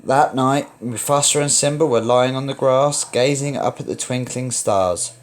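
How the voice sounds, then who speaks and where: A man reading a children's story aloud as narrator, in a dramatic, expressive read-along style. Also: mid-range voice, normal speed, slight background noise.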